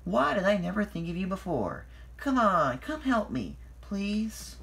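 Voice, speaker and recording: A storyteller voicing Thomas as an excitable, eager young male tank engine who exclaims a lot. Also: mid-range voice, normal speed, pitched above the performer's own voice. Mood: excited, eager. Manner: exclaiming, stuttering.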